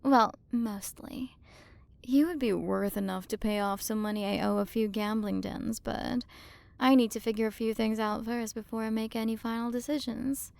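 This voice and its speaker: A woman's voice: a little high, fairly even intonation, a little mellow, no room sound. A woman playing a haughty, possessive alien galaxy queen in an ASMR roleplay, condescending and flirtatious as she toys with the listener. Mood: appraising, playful. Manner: condescending, flirtatious, drawn-out delivery with breathy drops into whispers. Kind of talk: ASMR roleplay, scripted character acting.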